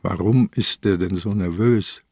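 An old, ailing police inspector in a radio crime drama. His voice is low and slow, gruff and deliberate, with a probing, faintly mocking stubbornness. The sound is close and dry. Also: a little mellow, dry recording. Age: older. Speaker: actor in a radio crime play.

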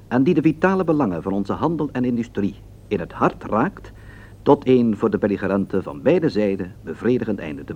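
An actor playing a solemn, formal foreign news correspondent in a radio play, delivering scripted reports in a declamatory way. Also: male voice, mid-range voice, dark tone, husky. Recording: dull lo-fi radio sound.

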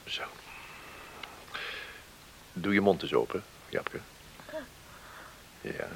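An actor playing a calm, grave village doctor in a Dutch radio drama, measured and reassuring. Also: male voice, low voice, gravelly, whispered, full character voice, rough recording. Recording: hissy old radio drama recording.

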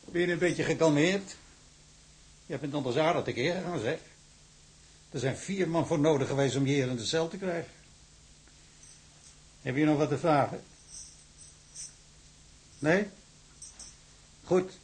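A man's voice: fairly high pitch, a little slow, long pauses, natural voice. A man acting in a Dutch radio drama as a casual, patronising jail guard. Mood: patronising, calm. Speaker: actor.

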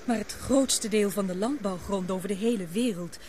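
An actress in a Dutch radio play, playing an affectionate, anxious expedition member who speaks hesitantly and is easily flustered. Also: female voice, mid-range voice, normal speed, warm tone, slight background noise, lightly acted. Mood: concerned.

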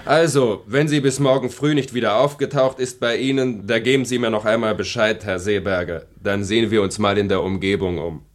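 An actor playing a dry, stolid village policeman in a radio crime drama, deliberate and matter-of-fact. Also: on the low side, fairly even intonation, close to an everyday voice. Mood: dry, official. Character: stolid, dry male village gendarme taking statements.

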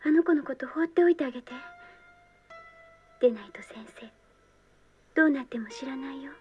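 A woman's voice: high-pitched, brisk, muffled recording, faint music behind, natural voice. An actress voicing an eerie female ghost whose menacing whispers come from a tape in a radio drama. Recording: distant, faint tape recording.

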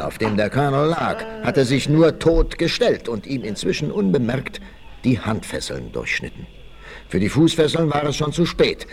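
A man giving scripted dramatic narration in the manner of a 1960s radio play. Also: mid-range voice.

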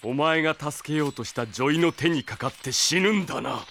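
An actor playing a cold, arrogant young villain in a Japanese anime audio drama, theatrical and condescending. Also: male voice, on the high side, lively intonation, fairly quick, vocal fry, a bit bright. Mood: gloating, sneering. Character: cold, arrogant young male villain serving an evil master. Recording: dramatic music behind the voice.

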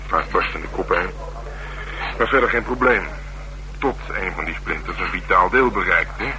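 An actor playing a streetwise, sardonic middle-aged police detective in a Dutch radio drama, talking bluntly and colloquially with dry teasing. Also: male voice, low-pitched, normal speed, bright, forceful tone, heavily acted. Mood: matter-of-fact. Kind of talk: naturalistic radio drama dialogue. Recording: humming, noisy old radio broadcast.

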